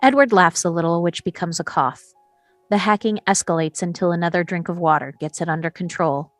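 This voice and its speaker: A woman's voice: lowish voice, a little fast, no room sound, soft background music. A woman reads screenplay stage directions as scripted narration, setting scenes and describing action.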